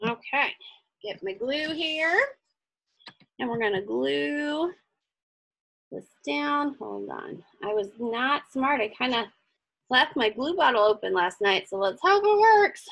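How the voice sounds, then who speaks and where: High, lively, bright-voiced woman teaching crafts. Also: slow pace, creaky, long pauses. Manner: chatty, encouraging, thinks aloud while working. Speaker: craft instructor. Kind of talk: casual step-by-step craft tutorial.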